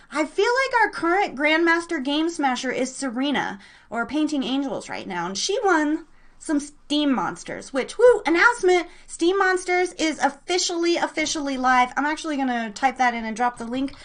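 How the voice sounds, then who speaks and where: An excitable, bubbly woman chatting casually and slangily as she hosts a live internet radio show. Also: sits high. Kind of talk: casual rambling livestream chat with an online audience.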